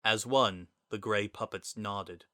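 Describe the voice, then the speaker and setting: A man reads dramatic fiction as its narrator. His voice is bright and clear-edged, on the lower side in pitch, with a scripted storytelling delivery. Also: takes it slowly, dry recording, clean studio sound.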